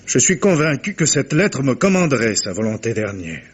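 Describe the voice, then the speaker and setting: A man acting an anguished, stubborn gentleman with solemn, declamatory delivery in a 1950s French radio mystery. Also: mid-range voice, sing-song, moderate pace, husky, lo-fi sound. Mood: tormented. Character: anguished, stubborn wealthy gentleman confiding a dark secret. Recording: noisy vintage radio recording.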